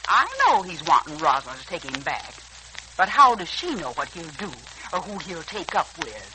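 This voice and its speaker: Folksy, animated older matron. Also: female voice, sits low, normal speed, exaggerated voice, low-quality recording. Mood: gossipy, concerned. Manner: folksy, exclamatory. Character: scolding, motherly, folksy older household matron. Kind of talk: 1940s radio serial acting. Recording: old radio recording, faint hiss.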